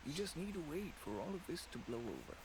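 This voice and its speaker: A man's voice: fairly high pitch, very animated, a crowd in the background, low-quality recording. A cautious shop-owning father. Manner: measured, advising. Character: middle-aged male shop owner and father, cautious and pragmatic. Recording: game audio with background ambience.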